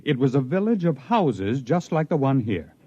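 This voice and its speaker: A man's voice: mid-range voice, big pitch swings, normal speed, dark tone, husky, no room sound. An actor playing a proud, intense stranded spaceman telling his story in a 1950s radio drama, with emphatic, dramatic delivery. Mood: tense, horrified. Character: stranded human spaceman recounting his life among aliens, proud, intense. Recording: muffled vintage 1950s radio recording.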